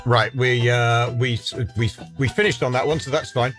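A man's voice: medium pitch, fairly even intonation, normal speed, a bit bright, soft background music. A man in his sixties, co-hosting a radio show with hesitant, chuckling banter full of fillers. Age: 60s. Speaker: radio co-host. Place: radio studio.

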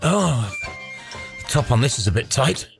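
A man's voice: medium pitch, big pitch swings, a little slow, creaky, faint music behind. Chuckling man in his sixties on radio. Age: 60s. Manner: hesitant, many fillers, chuckling. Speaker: radio co-host. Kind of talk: casual radio banter. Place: radio studio.

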